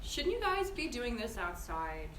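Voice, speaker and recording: A woman's voice: fairly high pitch, wildly swooping pitch, unhurried, a bit bright, full character voice. A woman playing an earnest, determined young woman in a screenplay table read. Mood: purposeful. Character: earnest, determined young woman investigating her spy boyfriend. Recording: echoey room, distant mic, noisy.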